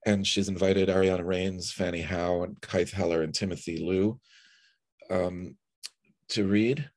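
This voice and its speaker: A man hosting an online event, giving informal introductions in a halting, hesitant way. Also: sits low, fairly even intonation, darkish tone. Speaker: host introducing poets. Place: online video call.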